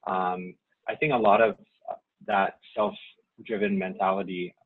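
A man's voice: low voice, flat delivery, measured pace. A man in his forties from Saipan, whose first language is Chamorro, talking as an interview guest, hesitant with many fillers and restarts. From Saipan, Northern Mariana Islands. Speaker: guest, nonprofit staff member. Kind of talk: casual interview. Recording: tinny phone-like line.

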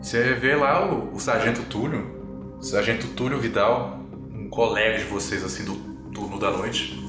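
A man's voice: on the low side, steady tone, normal speed. A Brazilian man narrating a tabletop game as game master, improvising as he goes. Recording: roomy echo, loud background music.

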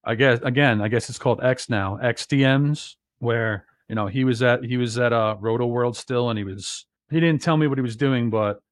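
A man from New Jersey with a loud bass voice, co-hosting a casual podcast and telling rambling stories full of restarts and fillers. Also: moderate pace, hardly any pauses. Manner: rambling storyteller, lots of "you know" and restarts. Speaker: podcast co-host.

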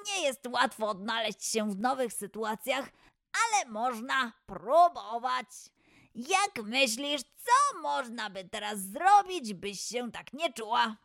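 Woman voicing a gentle, slow-speaking little boy animal. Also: a little high, very animated, vocal fry, no room sound, studio quality, voice pushed down, exaggerated voice. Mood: gentle, understanding. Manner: gentle, reassuring, measured. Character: caring, patient young friend Lolek, male animal child. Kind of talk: children's storybook acting.

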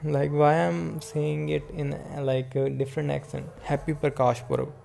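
A man with an Indian accent hosts a casual solo podcast in a rambling, halting manner. His voice is dark and fairly flat in pitch. A light layer of background noise sits under the recording. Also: vocal fry. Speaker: podcast host.

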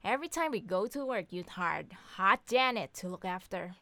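A woman playing a sharp-tongued, bossy wife and mother who teases bluntly in a scripted audio comedy drama. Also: mid-range voice, expressive, normal speed, dry recording. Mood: teasing. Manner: sarcastic, bantering, blunt.

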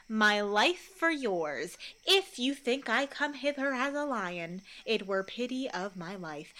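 A woman's voice: fairly high pitch, pushed and bright, heavily acted, low-quality recording. A woman playing a bossy, boastful male weaver in a Shakespeare radio play, with theatrical, self-important delivery.

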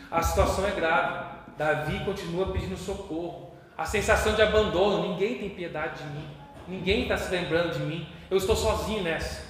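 A Brazilian man preaching a sermon with passion, moving between hushed and loud. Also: fairly high pitch, slight background noise. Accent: Brazilian Portuguese. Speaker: pastor. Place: church hall. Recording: echoey church hall with long reverb.

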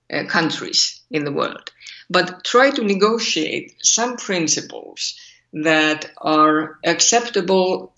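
A woman's voice: on the low side, a bit bright, highs cut off. A woman from Finland with a Finnish accent, an ethics scholar thinking aloud in an interview and asking rhetorical questions. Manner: thoughtful, searching, asks rhetorical questions. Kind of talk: interview over a call.

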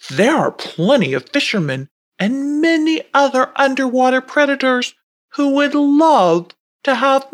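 A small, friendly boy fish from a storybook, cheerful and chatty, as a woman reading aloud puts him on. He talks slowly with a lively, bouncing pitch and a slightly breathy tone. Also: exaggerated voice. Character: small, friendly male red fish with spiky fins.

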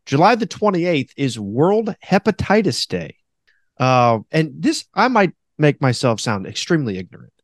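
A man with a deep voice hosting a casual podcast, animated and quick to mock radio announcers in a high voice. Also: dry recording, clean studio sound. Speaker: podcast host; former radio host.

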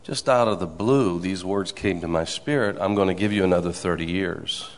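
A man around sixty giving an earnest church testimony, with a gravelly voice and frequent amens. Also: low-pitched, normal speed. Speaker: congregant sharing a testimony. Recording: reverberant hall.

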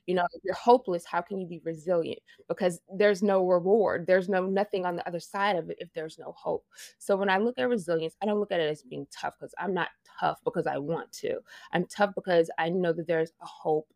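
Earnest Louisiana-accented woman, low voice. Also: fairly quick, vocal fry, a little mellow, no echo, clean studio sound. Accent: Louisiana Southern. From Louisiana, USA. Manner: earnest and reflective, laughs often, restarts phrases. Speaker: interview guest, fashion project manager. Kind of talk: casual conversational interview.

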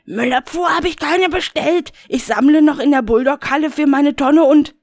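A man's voice: moderate pace, clean studio sound. A man voicing a furious, paranoid, foul-mouthed man in his thirties who rants and swears. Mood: ranting angry. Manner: shrill, ranting, swearing. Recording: echoey room with long reverb.

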